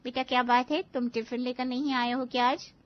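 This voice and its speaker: A woman's voice: high voice, flat delivery, fairly quick, voice pushed up, close to an everyday voice. A woman playing a strict, concerned schoolteacher in a cartoon, firm and lecturing. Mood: brisk, concerned. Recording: dull lo-fi audio.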